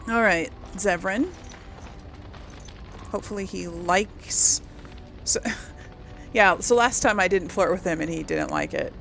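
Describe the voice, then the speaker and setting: A woman hosting a casual role-play gaming video. Her voice is creaky and her pace unhurried, with a musing, hesitant way of putting things. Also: on the low side, very animated, a bit bright, slight background noise.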